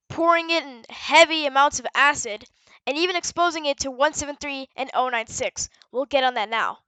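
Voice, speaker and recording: High bright female voice explaining stuff like a research log, matter-of-fact, corrects herself sometimes. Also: no echo, low-quality recording. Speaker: narrator presenting a fictional research audio log. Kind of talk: semi-scripted explanatory narration.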